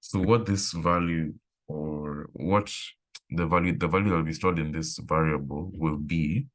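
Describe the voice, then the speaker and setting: A man with a very deep voice leading a live online coding lesson, thinking aloud with pauses and fillers. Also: steady tone. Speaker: coding instructor.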